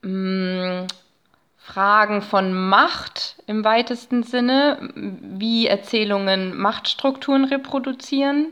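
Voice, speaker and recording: A woman's voice: medium pitch, fairly bright tone, smooth. Thoughtful woman explaining her research. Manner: thoughtful, halting, academic vocabulary. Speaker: guest, narratology researcher. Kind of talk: conversational interview, explaining own research.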